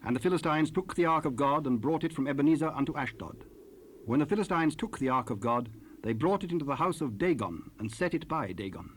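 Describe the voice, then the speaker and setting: A man's voice: on the high side, expressive, fairly quick. A man narrating scripture in archaic English for a dramatized Bible recording. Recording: noisy raw cassette rip.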